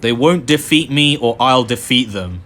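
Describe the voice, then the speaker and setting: A man's voice: medium pitch, animated, normal speed, fairly bright tone, a little hiss or hum. A young man casually commenting on a game as he plays, chatty and rambling with many fillers. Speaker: gaming video host. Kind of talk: casual let's-play commentary.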